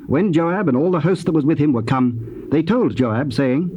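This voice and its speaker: A man narrating scripture from a script in a measured, formal storytelling manner. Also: fairly high pitch, warm tone. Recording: noisy old cassette tape transfer.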